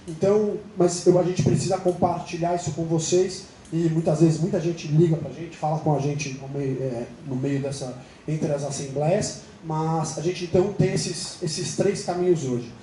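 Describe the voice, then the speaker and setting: Brazilian man, company rep at creditors' meeting, fast explainer; high, dark-toned, in a roomy space. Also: steady tone. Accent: Brazilian Portuguese. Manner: explanatory, fast, quoting others in dialogue. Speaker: company representative presenting to creditors. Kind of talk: business presentation. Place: meeting room. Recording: roomy hall, light noise.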